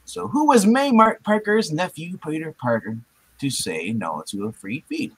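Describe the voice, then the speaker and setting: A man's voice: a little high, very animated, moderate pace, a bit bright, husky, exaggerated voice, rough recording. Man playing a jokey, swooping comic-book hero. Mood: wry musing. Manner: jokey, chummy. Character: wisecracking young male comic-book everyman hero. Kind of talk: live dramatic comic-book reading.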